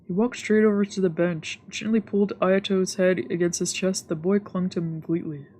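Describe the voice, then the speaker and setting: A woman's voice: fairly low pitch, fairly even intonation, a little fast. A woman streamer reading visual novel narration aloud for a let's play. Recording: soft game music behind.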